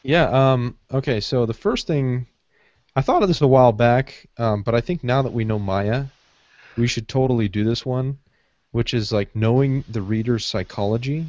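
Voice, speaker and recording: A man's voice: mid-range voice, normal speed, darkish tone, no room sound. A man co-hosting a podcast, talking in a casual, conversational way. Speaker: podcast co-host. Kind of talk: casual panel chat. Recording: dull lo-fi online audio.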